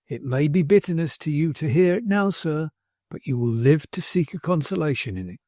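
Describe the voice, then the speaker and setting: A man voicing a pompous, self-righteous older gentleman who speaks in a plaintive, injured tone in a storybook reading. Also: a little high, expressive, fairly quick, no echo, clean studio sound, natural voice. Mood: sanctimonious.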